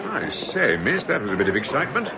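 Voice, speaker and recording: An actor in a radio drama playing a chatty, opinionated, old-fashioned customer who muses and grumbles. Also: male voice, medium pitch, big pitch swings, fast talker, noisy background. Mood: chatty, disapproving.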